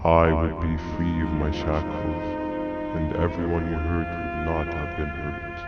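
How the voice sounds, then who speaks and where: A man voicing a grim, bitter monster schemer in a video game, speaking in a stern, formal, deadpan way. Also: extremely low pitch, a little slow, muffled recording, loud music behind the voice. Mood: bitter, accusing. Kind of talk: video game character dialogue.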